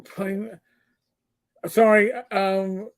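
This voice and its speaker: A man's voice: high voice, little pitch movement, extremely slow. Rambling middle-aged New York man. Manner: rambling, stop-start with many fillers and restarts. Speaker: podcast co-host. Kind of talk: casual livestream chat.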